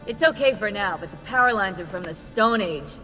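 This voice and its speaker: An actress playing a wry, laid-back young tech operative in a video game. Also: female voice, medium pitch, lively intonation, brisk, bright, forceful tone, soft background music. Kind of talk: casual video game acting. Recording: very echoey room with long reverb.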